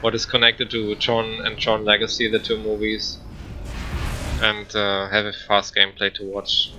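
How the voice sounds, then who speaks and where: A man's voice: lowish voice, monotone, moderate pace, highs cut off, background noise. A man giving casual, rambling gameplay commentary in hesitant, non-native English. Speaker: speedrunner commentator.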